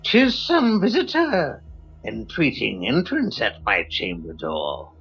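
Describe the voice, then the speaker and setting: A man co-hosting a podcast, giving a theatrical poetry reading that swings between loud highs and hushed drops. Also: huge pitch swings, slow, creaky, breathy edge. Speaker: podcast co-host. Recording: slightly muffled with faint music.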